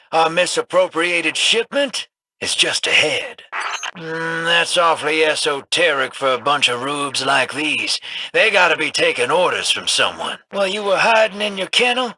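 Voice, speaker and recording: A man's voice: fairly high pitch, sing-song, moderate pace, sharp tone, slightly rough, exaggerated voice. A man voicing a wry, roguish smuggler in a video game, who teases and boasts with sly humor. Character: smooth-talking roguish male smuggler.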